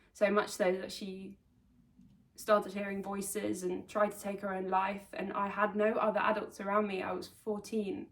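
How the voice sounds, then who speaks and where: A woman from Sweden telling her own life story to camera, earnest and reflective, with halting restarts. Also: mid-range voice, a bit bright. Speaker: vlogger. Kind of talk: personal confessional storytelling. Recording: voice slightly distant from the mic.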